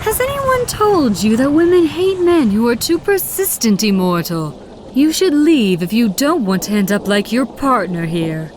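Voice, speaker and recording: A woman's voice: fairly high pitch, sing-song, measured pace, a little mellow, a little hiss or hum. An actress voicing a determined, zealous female agent of a secret order in a video game, solemn and poised. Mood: cool, taunting. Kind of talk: dramatic video game dialogue.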